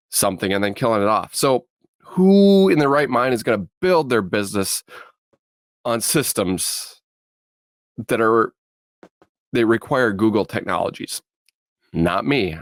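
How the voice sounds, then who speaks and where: A man presenting tech news with his own opinions as a podcast panelist, in a halting way with repeated phrases. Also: on the low side, no echo.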